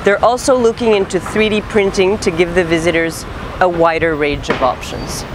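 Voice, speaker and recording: A woman's voice: on the low side, steady tone, moderate pace, background noise. A woman in her thirties presenting scripted news items as a show host, in casual, slangy language. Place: city street, outdoors. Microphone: lapel mic. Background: music.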